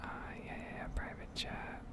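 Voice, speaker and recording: A woman whispering in a heavy, breathy voice close to the microphone, rambling casually and hesitantly as in an ASMR gameplay video. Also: moderate pace.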